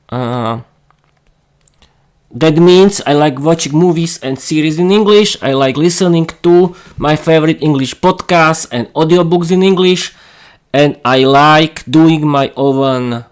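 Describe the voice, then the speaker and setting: Halting Slovak-accented man, slow. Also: a little high, clear, resonant tone. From East Slovakia. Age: 30s. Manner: halting learner English with frequent fillers and restarts. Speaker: podcast host. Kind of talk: solo podcast monologue.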